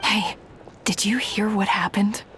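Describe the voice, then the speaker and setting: Actress as gossipy townswoman, mid-pitched. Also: steady tone, unhurried, fairly bright tone, slight background noise, natural voice. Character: gossiping townswoman passing on news. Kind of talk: video game dialogue.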